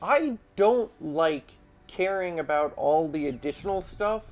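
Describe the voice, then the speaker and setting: A man's voice: a little high, unhurried. A man chatting casually and giving opinions while playing a video game with his wife. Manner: lively, opinionated, rambling. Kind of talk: casual let's-play chat.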